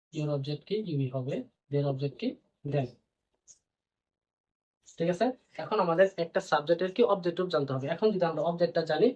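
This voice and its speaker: A man's voice: fairly high pitch, a little mellow. A man teaching a grammar lesson, repeating points and answering his own questions as he explains. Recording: dull, lo-fi band-limited sound.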